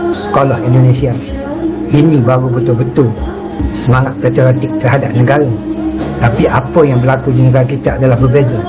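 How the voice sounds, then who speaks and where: A man from Malaysia giving an emphatic, declamatory public speech. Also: medium pitch. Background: loud music.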